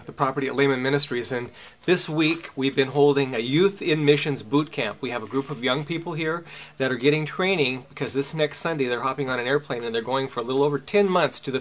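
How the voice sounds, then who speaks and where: A middle-aged American man speaks as host and teacher. He explains in an informal, detailed way, at a medium pitch. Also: fairly bright tone. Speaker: host and boot camp teacher. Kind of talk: informal on-camera introduction.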